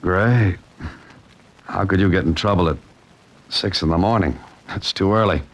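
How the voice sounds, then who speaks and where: An actor playing a calm, wry male amateur sleuth who narrates his case in 1940s radio detective style, dry and understated. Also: sits low, moderate pace. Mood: dry, concerned.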